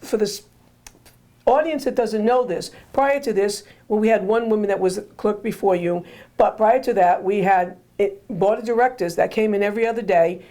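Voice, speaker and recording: A woman hosting a casual interview, her voice hoarse and going, rambling and repeating herself with joking asides. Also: mid-range voice, normal speed, dark tone. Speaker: host.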